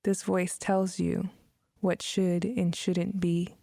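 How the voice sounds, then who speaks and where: A woman leading a guided meditation in a soft, breathy, measured manner with long pauses. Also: lowish voice, flat delivery, dry recording, clean studio sound. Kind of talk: guided meditation, scripted narration.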